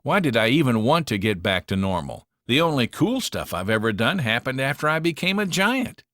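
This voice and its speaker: Man reading as an animated young heroine. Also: mid-range voice, normal speed, no room sound, clean studio sound, pitched above the performer's own voice. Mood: defiant. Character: spirited, determined young woman storybook heroine.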